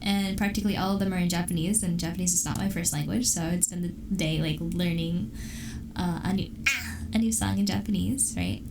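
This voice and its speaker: A woman's voice: fairly low pitch, fairly even intonation, a little fast. A young woman streamer chatting casually with her viewers, giggling often and restarting her sentences as she rambles. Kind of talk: casual chat with live chat. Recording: distant mic with clear background noise.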